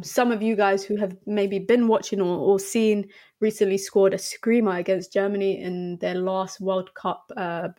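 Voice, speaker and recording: A woman's voice: mid-range voice, a little mellow. A young adult woman talking football as a podcast guest, chatty with frequent ums and restarts. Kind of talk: casual football chat.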